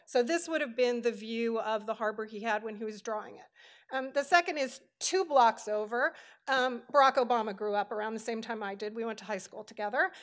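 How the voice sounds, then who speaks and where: Higher-voiced American woman telling a lecture story. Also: fairly quick. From Hawaii, United States. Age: middle-aged. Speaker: professor, panel speaker. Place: lectern in a large auditorium.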